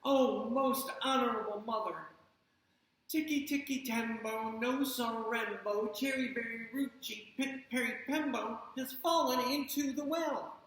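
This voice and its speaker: A woman's voice: a little high, unhurried, voice pushed up, close to an everyday voice. A woman voicing a small frantic boy who pleads breathlessly and stumbles over a long name. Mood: breathless pleading. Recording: echoey room.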